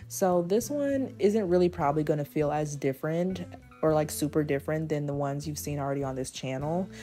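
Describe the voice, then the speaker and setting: A hoarse woman in her twenties speaks in a deliberately low, dark register, her worn voice sitting deep for a woman. She talks casually as the host of her own journaling channel. Soft guitar music plays faintly behind her. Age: 20s. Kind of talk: casual talk over journaling.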